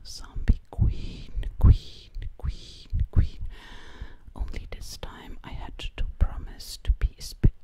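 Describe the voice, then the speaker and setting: A young woman doing ASMR role-play as a sweet zombie princess, whispering breathily and close to the mic, lingering on repeated words. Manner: very slow, lingering word repetition. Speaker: ASMR creator. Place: dim living room, indoors. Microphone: close-up ear-to-ear microphone.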